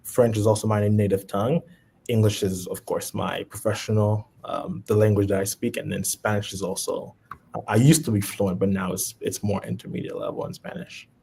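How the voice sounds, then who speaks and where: Haitian guy, kinda low and husky, easygoing chat with lots of ums. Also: normal speed. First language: Haitian Creole. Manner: relaxed, with frequent ums. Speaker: podcast guest. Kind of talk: casual chat.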